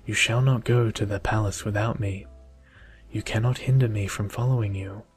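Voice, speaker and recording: A selfless young storybook heroine, read by a man in a low, level voice, gentle and formal in her words. She is brave and kind, and soft music plays underneath. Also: steady tone, normal speed, husky. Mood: insistent.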